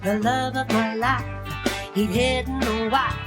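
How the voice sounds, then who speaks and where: A woman with a British accent singing a grumbling comic song in a deadpan way. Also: a little high, unhurried. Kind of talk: comic song, sung to plucked guitar. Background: plucked guitar music.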